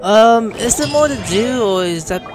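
A game streamer chats casually while playing. The voice gives loose, offhand commentary with game music clearly behind it. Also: mid-range voice, animated, a little slow.

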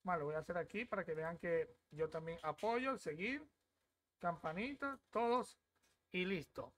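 A man's voice: high-pitched, measured pace, fairly bright tone. A young man casually walking viewers through an app on screen. He talks from a desk at home and keeps checking in with "¿ok?". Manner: chatty, repeats "¿ok?" often. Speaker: crypto app reviewer. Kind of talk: casual screen-share walkthrough.

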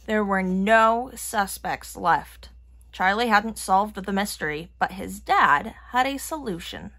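A woman reading a children's picture book aloud in an expressive manner. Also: medium pitch, slow. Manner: expressive, acting out characters' lines. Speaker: storybook reader.

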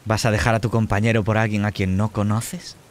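A man voicing a menacing male monster who bargains in a measured, persuasive way in a tabletop horror game. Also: on the low side, flat delivery, close to an everyday voice. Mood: coaxing.

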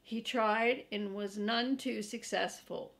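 A woman in her sixties reading a children's chapter book aloud in a folksy, deliberate way, as first-person narration. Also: mid-range voice, fairly even intonation, gravelly. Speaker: storybook reader for children. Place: small furnished room indoors, seated in an armchair.

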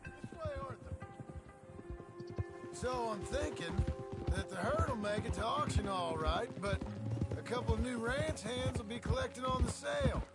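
An actor playing a prickly, scheming young American cowboy outlaw in a Western game, with a gravelly, folksy drawl. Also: male voice, extremely high pitch, lively intonation, normal speed. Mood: scheming, matter-of-fact. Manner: drawling, folksy frontier speech. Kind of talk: video game voice acting, Western dialogue. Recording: game music behind, horse hooves.

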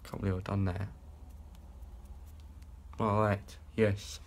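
A man's voice: fairly low pitch, flat delivery, slow pace. A young man, rambling and swearing in a casual vlog filmed on a phone held at arm's length. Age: young adult. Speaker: vlogger. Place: small indoor rooms and a hallway.

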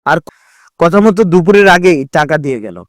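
A man reads scripted cartoon narration as a storyteller. His voice sits fairly high for a man. The sound is dry, without room echo. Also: big pitch swings, normal speed.

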